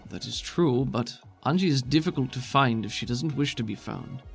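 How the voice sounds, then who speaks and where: A man voicing a skeptical, wary female plant-being scientist in a formal, measured way for a tabletop game. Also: medium pitch, animated, vocal fry, soft background music. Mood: cautious, measured. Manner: formal, measured, avoids contractions.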